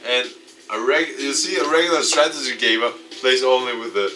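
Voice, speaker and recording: A man reading a visual novel aloud and commenting off the cuff, dramatic with excitable asides. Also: medium pitch, fairly quick. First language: not English. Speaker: let's play YouTuber. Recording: distant mic, music behind. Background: game music.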